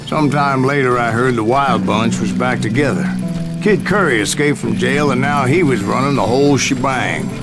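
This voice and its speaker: An actor playing a grizzled older bounty hunter telling his boastful tale in a wry, unhurried American Western drawl. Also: mid-range voice, natural voice. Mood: gritty, resolute. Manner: folksy, wry, unhurried storytelling. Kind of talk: dramatic first-person game narration. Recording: loud music under the voice.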